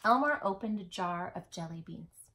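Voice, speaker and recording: A woman storytime host reading a picture book aloud to children in an expressive, dramatic way. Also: lowish voice, moderate pace, a little hiss or hum. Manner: animated, dramatic delivery for children.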